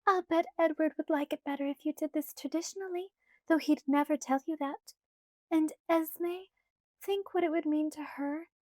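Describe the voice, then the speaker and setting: Bubbly, wheedling young female vampire, read by a woman, high and bright, dry studio. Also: fairly even intonation, moderate pace, no room sound, natural voice. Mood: coaxing. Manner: wheedling, sing-song, eager. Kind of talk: audiobook character voice.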